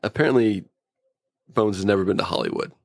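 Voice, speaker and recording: Wry man with a low, gravelly voice. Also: brisk, no echo, studio quality. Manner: wry, joking, anecdotal. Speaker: podcast co-host. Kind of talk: casual humorous review chat.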